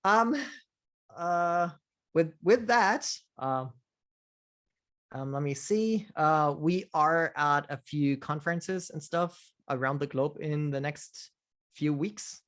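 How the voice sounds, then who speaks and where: A man hosting a casual technical question-and-answer call, halting, with many fillers and restarts. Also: a little high, fairly bright tone. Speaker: host of community office hours.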